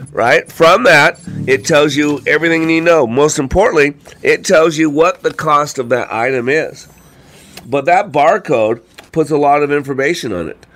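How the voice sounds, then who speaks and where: A man with a congested voice hosting a radio show, talking informally and motivationally with frequent rhetorical questions. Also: medium pitch. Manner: asks rhetorical questions, frequent "you know" and "right?". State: congested with a cold or allergies. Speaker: radio show host and trainer.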